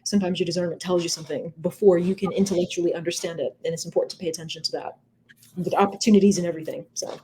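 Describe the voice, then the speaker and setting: A woman's voice: on the low side, fairly even intonation, racing, warm tone, slight background noise, low-quality recording. A woman speaking as an interview guest, earnest and emphatic, explaining things reflectively and checking in with questions. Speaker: podcast guest, entrepreneur and nonprofit founder. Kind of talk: reflective conversational interview talk.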